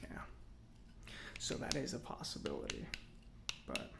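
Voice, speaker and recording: A man playing a chatty, self-satisfied robot mechanic in a soft-spoken ASMR roleplay, breathy and often near a whisper, musing slowly with pauses. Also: heavy background noise, lightly acted. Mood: sly, hypothetical, then focused, pleased. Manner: unhurried, hesitant musing with pauses.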